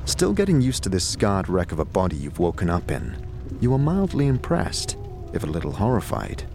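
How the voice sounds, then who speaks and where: A man's voice: sits low, wildly swooping pitch, moderate pace, over music. A man narrating a scripted fantasy audio drama in a dramatic storytelling manner.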